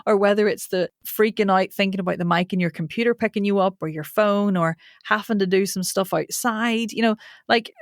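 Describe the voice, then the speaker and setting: A woman's voice: medium pitch, no room sound, clean studio sound. A woman voice coach and author chatting informally as a podcast guest, laughing easily and dropping mild swear words. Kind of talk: casual interview chat.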